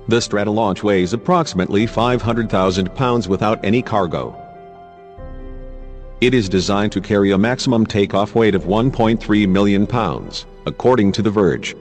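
A man reading scripted news narration as a narrator. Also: lowish voice. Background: music.

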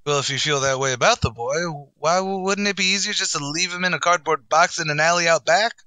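A sardonic tavern waiter. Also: male voice, on the high side, quick pace, sharp tone, smooth, no echo. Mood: sarcastic. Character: dry-witted male tavern waiter, sardonic. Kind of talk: 1940s radio comedy acting.

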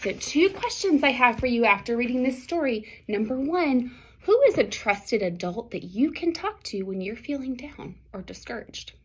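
A woman's voice: fairly high pitch, expressive, normal speed, slightly rough, rough recording. A woman in her thirties reading a picture book aloud expressively to children, with dramatic phrasing and short asides to her listeners. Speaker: storybook reader for children. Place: small indoor classroom or office.

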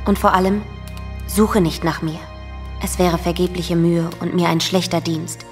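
Sorrowful young niece, low-ish voice. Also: female voice, steady tone, unhurried. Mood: sorrowful, resolute. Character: sorrowful devoted young niece reading her farewell letter. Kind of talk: German audio drama monologue. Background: music.

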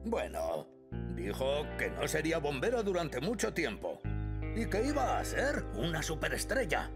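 A man's voice: on the high side, huge pitch swings, measured pace, full character voice. A man voicing a bossy, pompous older fire chief in a children's cartoon dub. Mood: worried. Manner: bossy, emphatic. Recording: music behind the voice.

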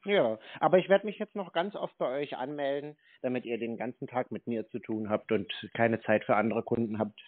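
A man's voice: mid-range voice, fairly quick, few pauses, dry recording. A man lecturing sardonically in a confrontational phone conversation, baiting the caller on a muffled line.

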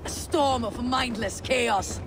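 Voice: medium pitch, slow. A performer playing a stern, defiant Viking warrior in a video game, grim, terse and accusing. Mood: angry accusation. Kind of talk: dramatic video game acting. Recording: music behind the voice.

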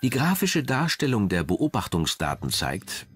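A man's voice: medium pitch, huge pitch swings, a little slow, a bit bright, faint music behind. A man reading scripted narration as a documentary narrator.

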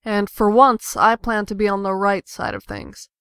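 A smooth, clear-voiced young female rebel played by a woman in a comic dub, recorded dry. She is cocky and defiant, making speeches with a taunting edge. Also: medium pitch, sing-song, moderate pace, fairly bright tone, dry recording. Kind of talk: amateur comic dub acting.

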